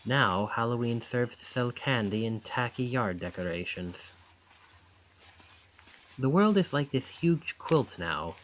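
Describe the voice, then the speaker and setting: Charming, lively young male student, acted by a man. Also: mid-range voice, slight background noise, natural voice, rough recording.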